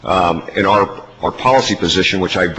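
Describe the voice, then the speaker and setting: A man giving formal, measured testimony as an industry representative at a public hearing. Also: sits low. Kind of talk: formal prepared testimony and answers. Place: large hearing room. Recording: reverberant hall, faint background noise.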